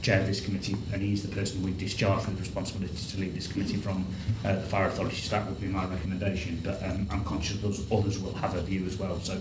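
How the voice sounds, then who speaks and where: A man leading a formal council committee debate in a procedural, measured way. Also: low-pitched, flat delivery. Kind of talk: formal committee meeting speech. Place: council meeting room. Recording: roomy chamber with background noise.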